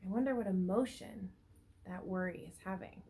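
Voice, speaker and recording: A woman teacher reads a picture book aloud to young children, stretching words for emphasis and pausing to ask them questions. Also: medium pitch, warm tone, slight background noise. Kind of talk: read-aloud storytelling with asides and questions to young listeners.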